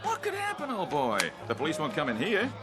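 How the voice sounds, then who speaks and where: A jovial, self-satisfied playboy in an audio drama. His voice is high and swoops exuberantly through old-fashioned slang. Music and crowd chatter sit behind him. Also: wildly swooping pitch, fairly quick. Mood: offhand.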